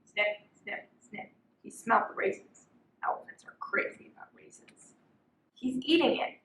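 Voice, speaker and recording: A woman's voice: a little high, normal speed, fairly bright tone, slight background noise. A young woman reading a children's picture book aloud as a volunteer storyteller. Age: young adult. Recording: roomy, echoing sound.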